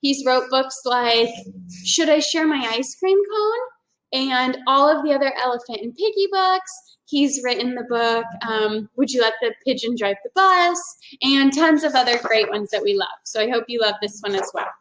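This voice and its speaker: A woman teacher reads a picture book aloud with playful, animated storytelling. Her voice is high and swings widely in pitch. The recording sounds echoey. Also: normal speed. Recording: echoey room.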